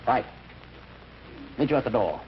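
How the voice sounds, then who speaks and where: A man's voice: mid-range voice, sing-song, a little slow. A man playing a tough, determined detective who talks tersely in an old-time radio drama. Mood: grim, determined. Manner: terse, hard-boiled. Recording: noisy, muffled vintage radio recording.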